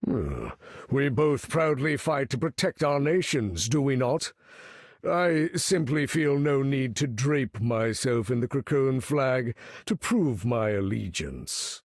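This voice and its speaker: A male actor playing a proud aging mutant leader in a video game, with a gravelly, husky voice and a grand, formal, commanding manner. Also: medium pitch, lively intonation, takes it slowly, no echo, studio quality. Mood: stern, proud. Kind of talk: video game character voice acting.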